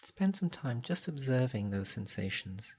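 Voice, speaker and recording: A man guiding a meditation in a gentle, soothing, measured way, leaving long pauses between instructions. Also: medium pitch, big pitch swings, husky. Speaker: meditation guide. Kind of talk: guided body scan meditation.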